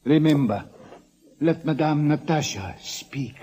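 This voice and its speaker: An actor in a 1940s radio drama playing a solemn, eerie, ceremonious attendant who gives ominous, measured instructions. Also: male voice, on the high side, dark tone. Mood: ominously polite. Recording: old radio recording with hiss.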